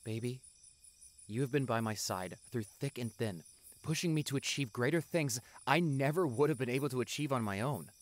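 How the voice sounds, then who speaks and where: A young man playing a devoted doctor boyfriend in a roleplay audio drama, speaking softly and affectionately with some hesitation. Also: medium pitch, animated, normal speed, close to an everyday voice. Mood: earnest, loving. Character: tender, devoted doctor boyfriend on an anniversary date. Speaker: voice actor.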